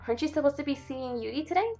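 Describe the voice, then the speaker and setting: A woman voicing a bubbly, teasing teenage girl from a video game, reading her lines in a higher, chirpy voice. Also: lively intonation, racing, dark tone, dull audio, soft background music.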